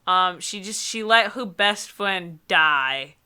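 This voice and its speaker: Woman with a bright, blunt, sardonic delivery. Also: lowish voice, expressive, slow, clear, resonant tone. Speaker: co-host of a comedic book review show. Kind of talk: casual comic commentary.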